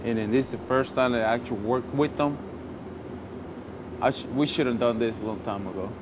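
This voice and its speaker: A man in his thirties from Puerto Rico with a Puerto Rican Spanish accent, a soldier talking casually in an interview, warm and repetitive. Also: mid-range voice, brisk. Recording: noisy field recording.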